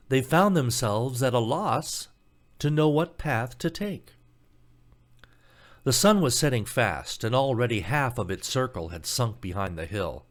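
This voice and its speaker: Man narrating an expressive fairy tale. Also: mid-range voice. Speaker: storybook narrator.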